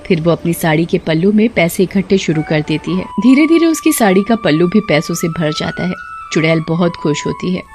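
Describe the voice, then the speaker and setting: A woman's voice: fairly low pitch, expressive, brisk, a little mellow, soft background music. A woman narrating a scripted tale as a storyteller.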